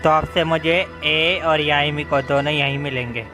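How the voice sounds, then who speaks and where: Very fast-talking Indian man live streaming. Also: on the high side, steady tone, over music. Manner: informal, chatty. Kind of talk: casual live-stream chat.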